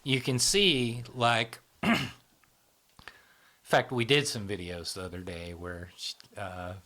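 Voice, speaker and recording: Low-voiced man explaining, tagging "right?". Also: slow pace, pushed and bright. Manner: explains in loose spoken runs, checks in with frequent "right?". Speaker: host, channel founder. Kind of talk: casual explanatory conversation.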